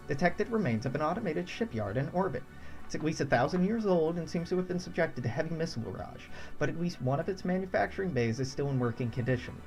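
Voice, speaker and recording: A man's voice: on the high side, a little mellow. A young man from the United States casually thinking aloud while streaming a strategy game, chuckling often. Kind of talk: casual let's-play commentary.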